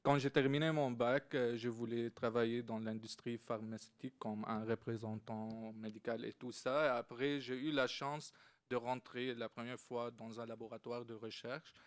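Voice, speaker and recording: A man speaking French as a non-native on a panel, talking carefully with many pauses. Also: mid-range voice, steady tone. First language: not French. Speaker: researcher panelist. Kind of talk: personal account on a panel. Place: conference hall stage.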